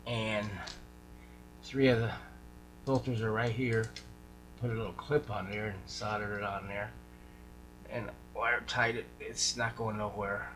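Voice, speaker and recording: Bright-voiced man chatting, humming noisy background. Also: lowish voice, level tone, unhurried, long pauses. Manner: informal, folksy, thinking aloud. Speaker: radio repair hobbyist showing a restoration. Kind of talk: casual hands-on workshop chat. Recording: clear background noise, electrical hum.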